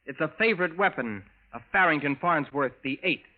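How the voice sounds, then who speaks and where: An actor playing a resolute, grave assassin from a future underground, speaking formally in clipped sentences in old radio drama style. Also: male voice, fairly high pitch, animated, a little fast, phone-quality sound, close to an everyday voice. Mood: urgent, commanding. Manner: formal, clipped speech without contractions. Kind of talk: 1940s radio drama acting.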